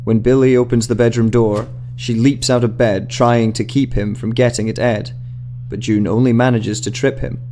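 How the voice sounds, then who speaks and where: A man's voice: mid-range voice, flat delivery, moderate pace, a little mellow, a little hiss or hum. A man reading a scripted short story as a dramatic narrator. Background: music.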